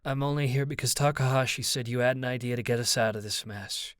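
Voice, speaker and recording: A man voicing a sharp-tongued young woman in a fantasy audiobook, dry, curt and impatient. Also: medium pitch, steady tone, fast talker, dry recording, lightly acted.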